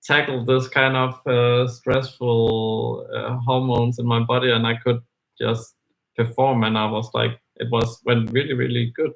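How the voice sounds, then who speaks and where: Man musing in halting English. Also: medium pitch, level tone, gravelly, poor audio. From Denmark. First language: Danish. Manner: hesitant, thinking aloud with many fillers and restarts. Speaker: co-host of a live book discussion. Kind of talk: casual discussion.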